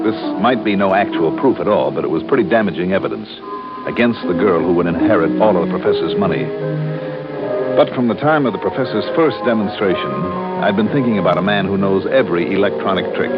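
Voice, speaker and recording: An actor playing a terse, commanding insurance investigator who narrates an old-time radio detective drama. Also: male voice, deep voice, a little fast, close to an everyday voice. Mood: measured narration. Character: hard-boiled, businesslike male insurance investigator. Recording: dull old radio recording with music.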